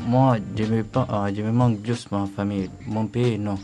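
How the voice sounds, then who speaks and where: Brief: man, thirties, warm mellow voice, hesitant. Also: on the low side, level tone, normal speed. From Eritrea. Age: 30s. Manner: hesitant, fillers between phrases. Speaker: French learner guest.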